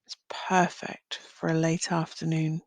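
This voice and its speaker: A woman leading a guided visualisation as a meditation guide, speaking gently in short phrases with long pauses. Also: low-pitched, completely flat delivery, no room sound. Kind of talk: guided visualisation, slow soothing narration.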